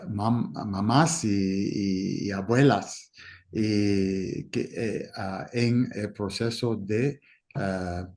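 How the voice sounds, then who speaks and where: A man moderating an academic discussion, speaking off the cuff and haltingly as he searches for his words. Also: lowish voice, fairly even intonation, a little mellow. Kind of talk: academic discussion, spontaneous remarks.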